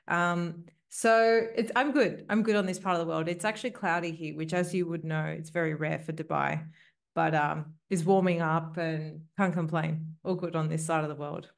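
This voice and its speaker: A woman's voice: low voice, fairly even intonation, moderate pace, creaky. A woman in her thirties from Australia with an Australian accent, a lawyer talking fluently and openly as a guest on a video call. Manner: fluent and open, conversational. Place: home office, indoors. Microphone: headset.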